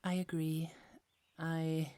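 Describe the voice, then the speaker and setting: A woman voicing a gentle young goddess who keeps golden apples, speaking in a soft, earnest way that hesitates a little. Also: low voice, flat delivery, takes it slowly. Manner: soft, earnest and a bit hesitant, with small stumbles. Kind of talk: ASMR audio roleplay.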